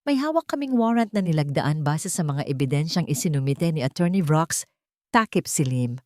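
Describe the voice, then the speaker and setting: A woman's voice: sits low, lively intonation, normal speed, a little mellow, dry recording, clean studio sound. A woman voicing a stern male police chief with a formal, official manner in an audio drama. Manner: official, declaratory.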